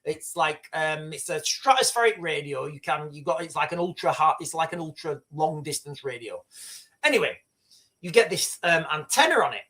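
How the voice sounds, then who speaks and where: A man's voice: a little high, animated, moderate pace, fairly bright tone. Middle-aged male livestream host, loose rambling storyteller who swears and restarts sentences. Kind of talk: casual live commentary and storytelling.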